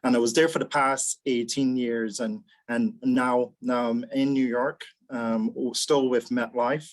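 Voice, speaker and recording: A man's voice: mid-range voice, fairly even intonation, unhurried. A man, speaking as a mentor on a video call panel and often repeating words while he thinks. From Barbados. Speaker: mentor, actuary executive. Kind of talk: casual panel talk.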